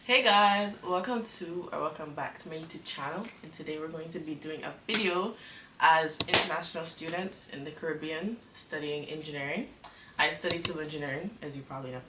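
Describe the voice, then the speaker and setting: A woman's voice: deep voice, fairly quick, recorded from a distance. A young woman from the Cayman Islands, hosting a casual chat and asking a friend questions in a giggly, upbeat way. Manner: bright, giggly, interviewing. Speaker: YouTube host. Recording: echoey room, off-mic, faint room noise.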